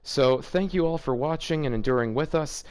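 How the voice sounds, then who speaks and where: Sardonic young-sounding man with a clear voice. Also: fairly high pitch, moderate pace, a little mellow. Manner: sardonic, exasperated. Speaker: host of a fan fiction reading series. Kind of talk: casual commentary and read-aloud.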